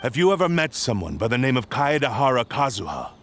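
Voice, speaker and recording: A man's voice: mid-range voice, very animated, fast talker, a bit bright, a little hiss or hum. An actor playing an arrogant wandering swordsman in a video game, curt and dismissive. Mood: probing. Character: brusque, arrogant wandering male swordsman seeking a duel.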